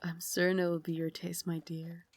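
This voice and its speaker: Victorian vampire lady, female actor, ASMR roleplay. Refined, formal, caring soft dominance; slightly deep voice, very dry sound. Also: completely flat delivery, moderate pace, slightly rough, no echo. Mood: gracious, refined. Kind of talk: ASMR roleplay, slow intimate scripted acting.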